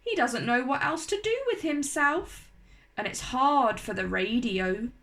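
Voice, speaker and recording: A woman voicing a brisk, reassuring female chicken with a chatty, clucking manner for a children's storybook. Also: sits high, lively intonation, creaky, a bit bright, full character voice. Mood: chatty, explaining. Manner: clucking, cackling, matter-of-fact.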